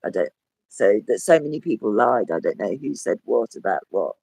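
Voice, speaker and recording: A man with a croaky, hoarse voice, talking as a guest in a rambling casual chat that trails off and restarts. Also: lowish voice, very animated, moderate pace, a little mellow, no echo. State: hoarse with a cough. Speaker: podcast guest. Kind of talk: casual conversation.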